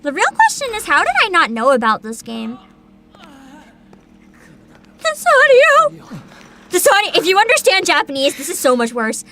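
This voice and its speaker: A woman hosting a livestream, chatting casually and reacting in an animated, exclamatory way with frequent swearing. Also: very high voice, normal speed, long pauses, background noise. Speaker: livestream host, VTuber.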